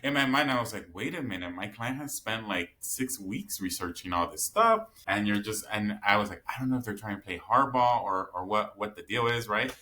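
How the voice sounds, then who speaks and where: A man's voice: lowish voice, expressive, brisk, hardly any pauses, pushed and bright. A man hosting a business podcast, chatty and rambling, telling stories with many fillers. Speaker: podcast host. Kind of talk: conversational interview.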